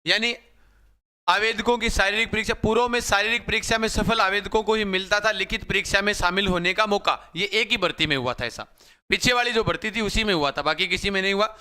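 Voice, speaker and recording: A young male coaching teacher with a high, bright voice. He is slightly hoarse but stays smooth and clear. He addresses his viewers over and over in a repetitive, live-class manner. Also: a little fast. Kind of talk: live-stream class talk.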